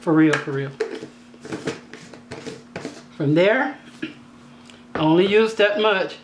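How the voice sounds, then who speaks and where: A woman with a slightly raspy voice hosting a home cooking tutorial, talking in a chatty, down-to-earth way with joking asides. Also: fairly low pitch, expressive, takes it slowly, background noise. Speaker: cooking channel host. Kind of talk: casual cooking tutorial voice-over. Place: home kitchen.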